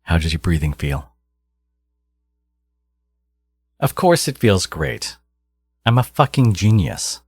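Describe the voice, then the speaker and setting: A smug supervillain genius, acted out by a man, speaks extremely low and slow in an intimate audio roleplay. His delivery is a theatrical, teasing drawl with wide swings in pitch. He taunts his hero with possessive, doting arrogance. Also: sing-song, darkish tone, slightly rough, dry recording, full character voice. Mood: smug, caring.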